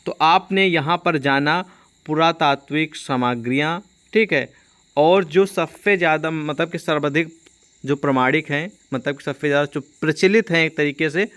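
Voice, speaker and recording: Male online teacher, slightly high pitch, informal and explanatory, repeats points with frequent check-ins. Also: moderate pace, fairly bright tone, clear, resonant tone. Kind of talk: informal exam-prep lesson.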